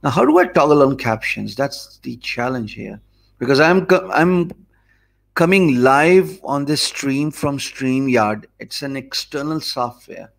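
A man in his forties with a Pakistani English accent, teaching live to viewers in a slow, easy, conversational way from an office. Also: mid-range voice, vocal fry. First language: Urdu. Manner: deliberately slow, easy speech; thinks aloud, loose and conversational. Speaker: online course instructor. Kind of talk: live stream talk to viewers. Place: office room, indoors. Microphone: desk mic in front of him.

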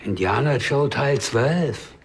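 An actor playing a proud cinema owner, husky and boastfully emphatic. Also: male voice, medium pitch, lively intonation, takes it slowly, exaggerated voice.

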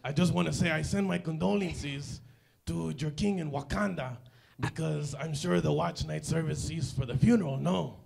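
A man doing a deadpan comic news segment on a church stage, dryly repeating his running gag. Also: on the high side, moderate pace, vocal fry, echoey room. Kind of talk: deadpan comedy sketch. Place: large church stage, indoors. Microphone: handheld mic.

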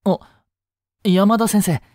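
A man playing a caring, easygoing high school teacher in a voice drama, speaking conversationally with gentle concern. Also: steady tone, slow, no room sound, clean studio sound. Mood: worried, polite. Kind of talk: scripted Japanese voice drama acting.

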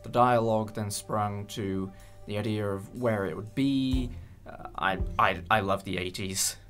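A young man chatting casually in an interview, hesitant and rambling with many pauses. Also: lowish voice, fairly even intonation, a bit bright. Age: young adult. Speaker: creator of a fan audio drama. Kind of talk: casual behind-the-scenes interview. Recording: light music bed under speech. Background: background music with guitar.

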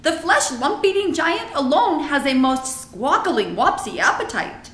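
A gentle, melancholy runty giant from a storybook, acted out by a woman. He talks in folksy, muddled grammar with made-up words. The pitch is high and swings widely, in a roomy, echoing sound. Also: big pitch swings, a little slow, heavily acted. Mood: folksy, matter-of-fact. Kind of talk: children's storybook character reading.

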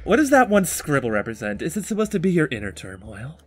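A young man hosting a casual podcast in an animated, teasing way, bantering while drawing. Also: on the high side, quick pace, slight background noise. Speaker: podcast host.

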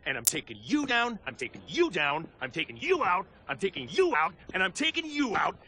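An actor with an American accent playing a cocky, flippant action movie star in a video game, wisecracking and smug. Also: male voice, sits high, wildly swooping pitch, brisk, pushed and bright, heavily acted. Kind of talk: video game cutscene acting. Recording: music behind the voice.

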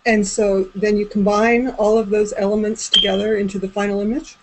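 A woman's voice: medium pitch, little pitch movement, brisk, poor audio. A woman in her forties asking casual interview questions over a video call from a room indoors. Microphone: computer mic on a video call. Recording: faint background noise on video call.

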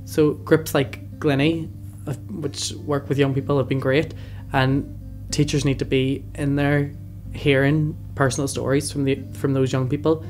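A man's voice: mid-range voice, little pitch movement, a little slow, few pauses. A man in his twenties with a Belfast accent, telling his story earnestly in an interview. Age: 20s. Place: indoor youth centre room. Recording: loud music bed behind the voice.

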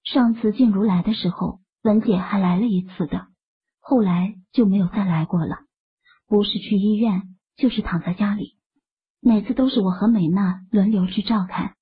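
A woman playing a worried, dutiful young office colleague in an audio drama, with a husky, breathy voice. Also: medium pitch, normal speed, narrow, tinny audio. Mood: aggrieved.

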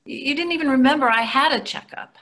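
Woman playing a bright-voiced, dry older wife. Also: high-pitched, fast talker. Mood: hurt, firm. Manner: matter-of-fact, dry. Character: dry, exasperated, practical wife in long marriage. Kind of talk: readers theatre play reading. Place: plain room indoors, video call.

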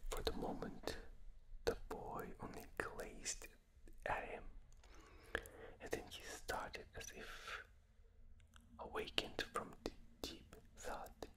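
A man with a non-native accent reading a novel aloud in a soft, fully unvoiced ASMR whisper on a binaural microphone, late at night. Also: slow pace, pauses often, poor audio. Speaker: ASMR reader. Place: dark room at night, reading from a tablet.

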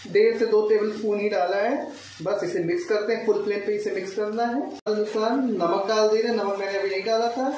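Echoey, distant man giving cooking instructions. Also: high-pitched. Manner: instructional, step by step, mixes English words into speech. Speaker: home cook, recipe host. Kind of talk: informal cooking tutorial narration. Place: home kitchen, indoors. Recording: echoey, distant mic, faint kitchen noise.

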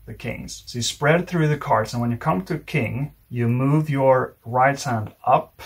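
A man with a slightly husky voice, giving a measured, step-by-step tutorial as an instructor demonstrating while he talks. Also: medium pitch. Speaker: card magic instructor.

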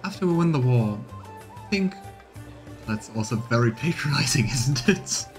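A man's voice: medium pitch, lively intonation, moderate pace. A man in his thirties streaming a game, commenting casually and reading dialogue aloud. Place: small room indoors, on webcam. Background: game music.

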